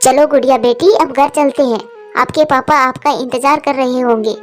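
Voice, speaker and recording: A woman voicing a gentle, loving mother bird with a patient, soothing manner in a cartoon story. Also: high voice, steady tone, moderate pace, faint music behind, lightly acted. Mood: tender, reassuring. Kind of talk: Hindi cartoon story acting.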